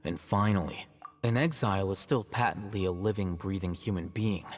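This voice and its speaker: A man playing an aloof, self-assured young scholar in a video game, measured, articulate and slightly smug. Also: deep voice, big-room sound, soft background music, close to an everyday voice. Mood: explaining.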